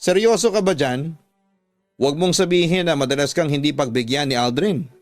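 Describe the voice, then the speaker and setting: A man's voice: on the high side, brisk, dry recording, faint music behind, close to an everyday voice. Man putting on a blunt, teasing Filipina friend. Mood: teasing, incredulous. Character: blunt, teasing young female best friend.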